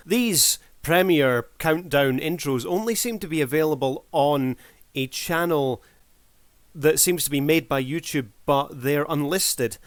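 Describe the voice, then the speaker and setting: A man's voice: fairly high pitch, no echo. A man giving casual review commentary as a video creator, in a wry, mock-formal showman's manner.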